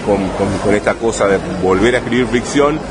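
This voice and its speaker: A man from Rosario, Argentina, with a Rosario accent, an interviewed writer talking in a thoughtful, halting way full of restarts and tag questions. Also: on the low side. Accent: Rosario Argentine Spanish. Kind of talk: relaxed conversational interview. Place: busy bar with street traffic behind. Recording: noisy crowded bar ambience. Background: crowd chatter and passing vehicles.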